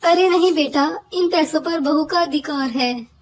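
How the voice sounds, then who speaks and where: A woman's voice: high-pitched, measured pace. A woman voicing a demanding, complaining old mother-in-law bird in a Hindi cartoon. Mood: insistent. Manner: scolding, complaining.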